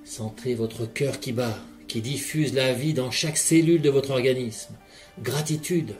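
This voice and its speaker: Man, guided relaxation, very slow unhurried delivery, calm measured guidance, faint music underneath. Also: mid-range voice. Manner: calm, measured second-person guiding. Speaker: hypnotherapist guide. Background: soft background music.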